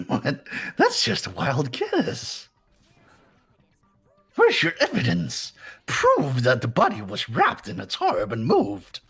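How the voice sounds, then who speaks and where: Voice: mid-range voice, huge pitch swings, slow pace, creaky, fairly bright tone, breathy edge, soft background music, exaggerated voice. A performer voicing a sadistic, mocking male bear mastermind in a fan dub of a video game, taunting and giggling in a sing-song way.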